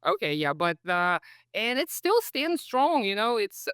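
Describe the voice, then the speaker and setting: A man, speaking from home as a podcast guest in a relaxed, reflective way, with lots of "uh" and "so". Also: high voice, a little slow, smooth, no room sound, clean studio sound. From Kazakhstan. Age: 30s. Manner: friendly, reflective storyteller with frequent "uh" and "so". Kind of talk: casual interview chat.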